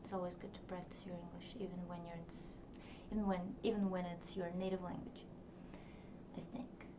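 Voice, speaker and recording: A woman's voice: fairly low pitch, level tone, a little fast. A young woman whose first language is Russian, talking in a rambling, reflective way with many fillers, recording a personal diary vlog. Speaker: vlogger. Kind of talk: casual diary vlog to camera. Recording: distant, dull, noisy home recording.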